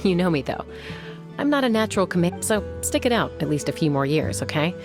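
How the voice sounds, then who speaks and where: Young warrior woman, deep female voice, cool, composed, frank, video game character, music behind. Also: very animated, a little fast. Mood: calm, frank.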